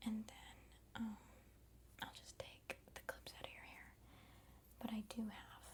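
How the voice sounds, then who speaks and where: A woman's voice: fairly high pitch, monotone. Whispering young woman doing ASMR role-play. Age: 20s. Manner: soft, unhurried, chatty and reassuring. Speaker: ASMR creator playing a friend doing the listener's makeup.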